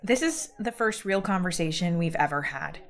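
A woman playing a hurt, proud girl just out of high school in a modern audio drama, sardonic and defensive. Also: expressive, moderate pace. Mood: hurt, weary.

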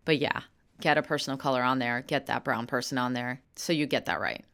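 A woman's voice: rumbling low, fairly quick, fairly bright tone. A woman in her thirties, an actor and comedian, answering interview questions frankly and laughing easily. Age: 30s.

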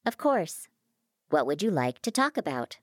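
Here is a sly, courteous machine from a science fiction story, acted out by a woman. It speaks formally and with measured care, teasing as it goes. The pitch moves a great deal and the sound is dry. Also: very animated, no echo, close to an everyday voice. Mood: playful.

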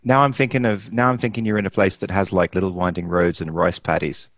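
A man hosting a casual conversation, asking relaxed, curious questions. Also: deep voice, fast.

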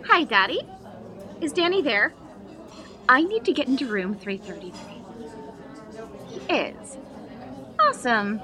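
Young two-faced female student, played by an actress, high swooping voice, sugary yet spiteful, audio drama, crowd murmur behind. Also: sing-song, measured pace, long pauses, sharp tone, heavily acted. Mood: wheedling. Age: young adult. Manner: sugary and coaxing, switching to snide. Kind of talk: acted audio drama dialogue.

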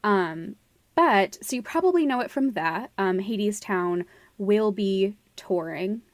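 A woman's voice: medium pitch, expressive, slow pace, no room sound. Woman co-hosting a podcast, dry close sound. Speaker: podcast co-host. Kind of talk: casual podcast discussion.

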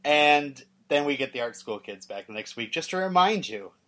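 A man's voice: a little high, lively intonation, normal speed, fairly bright tone. Conversational man hosting a recap podcast. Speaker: podcast host. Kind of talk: casual TV episode recap discussion.

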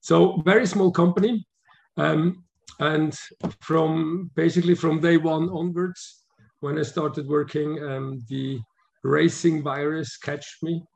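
A man's voice: on the high side, fairly even intonation, slow pace, vocal fry, poor audio. A man in his fifties from Switzerland, a guest answering interview questions in a thoughtful way with many restarts. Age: 50s. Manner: thoughtful, frequent restarts and "um"s, chuckles easily. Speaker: guest, motorsport sporting director.

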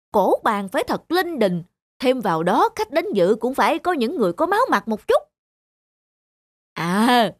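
Woman acting a sly, high-voiced cartoon fox. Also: no echo, clean studio sound. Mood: scornful, scheming. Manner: coaxing, crafty, feigned nonchalance. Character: sly, scheming hungry fox posing as friendly. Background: music.

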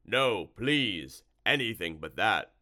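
A man voicing a huge slimy storybook monster, slow-witted and easily spooked, with a drawn-out, ponderous delivery. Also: on the low side, big pitch swings, pushed and bright, exaggerated voice. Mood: horrified.

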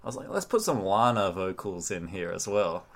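A man's voice: sits low, big pitch swings, moderate pace. A man chatting casually and jokingly as a podcast co-host. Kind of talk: casual comic chat with co-host.

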